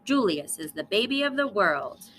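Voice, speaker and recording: A woman's voice: mid-range voice, very animated, normal speed, a bit bright, a little hiss or hum. A woman voicing a bossy, jealous little girl mouse in a children's storybook, with theatrical, indignant delivery. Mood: commanding. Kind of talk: children's storybook read-aloud.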